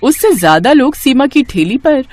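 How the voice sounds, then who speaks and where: Woman narrator with lively intonation. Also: high voice, moderate pace. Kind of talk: scripted moral story narration.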